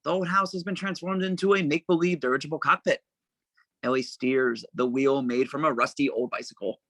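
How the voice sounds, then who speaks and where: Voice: medium pitch, steady tone, fairly quick, a bit bright, no echo. A narrator reading screenplay stage directions aloud for a table read in a plain, descriptive manner.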